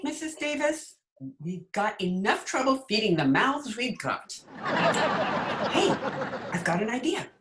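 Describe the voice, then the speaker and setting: A woman's voice: mid-range voice, heavy background noise. A woman playing a witty, sardonic schoolteacher with dry wisecracks in an old-time radio comedy, recorded over a video call. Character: witty, sardonic female high school English teacher. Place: recorded remotely at home. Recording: echoey room on video call.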